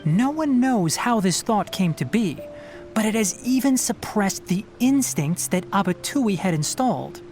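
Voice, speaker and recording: A calm, rational young ranger. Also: male voice, high-pitched. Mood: explaining. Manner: measured, explanatory, firm when giving instructions. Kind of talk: studio game voice acting. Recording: game audio with faint music underneath.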